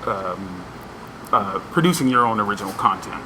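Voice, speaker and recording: A man hosting a casual interview, asking questions in a halting, loosely phrased way. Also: lowish voice, expressive. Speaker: interviewer, video show host. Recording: clearly noisy background hum.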